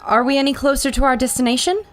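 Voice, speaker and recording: A woman's voice: high-pitched, fast talker, faint music behind, close to an everyday voice. An actress playing an inquisitive lady reporter who narrates to listeners in a 1930s-style radio adventure drama. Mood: hushed, concerned.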